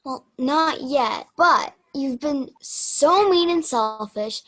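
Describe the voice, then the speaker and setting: A woman's voice: high-pitched, lively intonation, slow pace, a bit bright, heavily acted. A young-sounding woman playing a frank, kind-hearted teenage girl camper in an amateur radio play. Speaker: student radio play performer.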